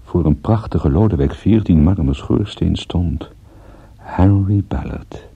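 Lage, schorre privédetective, droog en sardonisch. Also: male voice, sits low, slow, gravelly, warm tone, breathy edge, dull audio, heavily acted. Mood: wry, weary. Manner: dry, sardonic understatement. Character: wry, groggy male private detective, held captive. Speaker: narrator and lead character. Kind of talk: Dutch radio drama acting, first-person detective narration.

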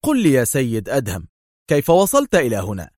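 A man's voice: medium pitch, brisk, no room sound, studio quality, lightly acted. Male narrator as suspicious male agent, indignant, argumentative, nervous temper, spy audiobook. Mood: incredulous. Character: hot-tempered, suspicious male foreign agent, easily outraged.

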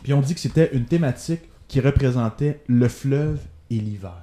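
A man's voice: mid-range voice, moderate pace, warm tone, echoey room. A man from Quebec City with a Québécois accent, chatting easily on a podcast. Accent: Québécois French. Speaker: podcast co-host. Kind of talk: casual chat.

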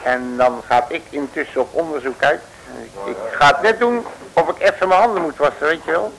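An actor playing a brash, streetwise detective who talks bluff slang, in an old radio play. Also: male voice, on the high side, a little fast, fairly bright tone, low-quality recording. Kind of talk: 1950s radio play acting. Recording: old radio broadcast with crowd noise.

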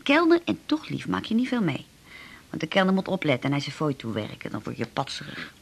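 A woman's voice: low-pitched, wildly swooping pitch, a little fast, creaky, slightly rough, exaggerated voice. A woman playing a chatty, down-to-earth working-class former chambermaid in a radio drama, telling stories in an earthy, effusive, rambling way. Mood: nostalgic, chatty.

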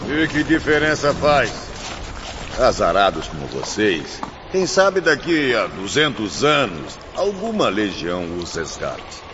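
An impatient, disgusted spirit of a man, complaining in a slow, swooping low voice, as an actor plays him. He drawls his words while his pitch rises and falls widely. The lo-fi recording carries a noisy background. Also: wildly swooping pitch, heavily acted. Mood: sarcastic. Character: disgusted male spirit trapped in a cave, impatient.

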